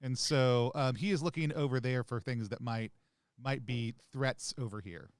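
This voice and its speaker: A man's voice: medium pitch, normal speed, smooth, dry recording, studio quality. A man playing a tabletop game, talking casually and hesitantly with many fillers and jokes. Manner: hesitant, jokey, full of fillers. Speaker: player.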